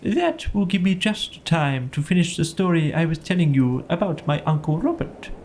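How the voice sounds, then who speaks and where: A learned old male owl from a bedtime story , voiced by a man, holds forth pompously. The voice is raised in pitch and deliberate in delivery, very pleased with its own wisdom. Background ambience is audible. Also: sing-song, a little mellow, slight background noise. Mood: self-satisfied.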